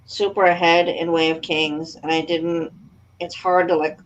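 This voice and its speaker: A woman's voice: lowish voice, flat delivery, unhurried. A woman talking informally and chattily in a casual book discussion. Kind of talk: casual book club chat. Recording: dull lo-fi audio, background noise.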